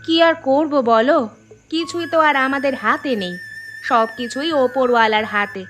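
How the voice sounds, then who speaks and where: A woman playing a firm, reassuring village housewife and mother in a Bengali cartoon, scolding yet caring and expressive. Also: high voice, normal speed, faint music behind. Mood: resigned, reassuring.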